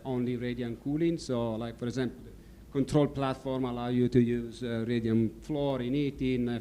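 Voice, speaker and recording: A man with an Italian accent delivers a business presentation, halting often on fillers as he hunts for words. His voice is mellow and warm, set at an ordinary pitch. A faint low rumble sits behind him in the room. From Italy. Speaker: company founder presenting. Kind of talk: presentation talk. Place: stadium function room.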